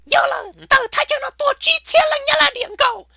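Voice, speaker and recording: A woman voicing an excitable, shrill comic cartoon character with a squeaky, creaky voice, prone to bursting into shouts. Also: brisk, no room sound, studio quality, heavily acted. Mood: agitated, shouting.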